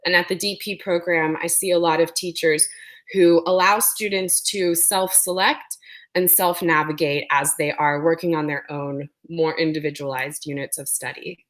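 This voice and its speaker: A woman's voice: deep voice, fairly even intonation, moderate pace. A woman presenting an educational webinar, speaking with a sore throat and explaining things with frequent ums.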